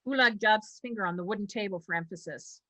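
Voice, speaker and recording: A woman narrates a script, reading out stage directions. Her voice is bright and forward, sitting at a medium pitch. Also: fast talker.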